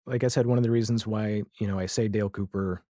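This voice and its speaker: A man speaking as a podcast guest in a casual, reflective conversation, with halting, thoughtful phrasing. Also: lowish voice, steady tone, dark tone, dry recording, studio quality.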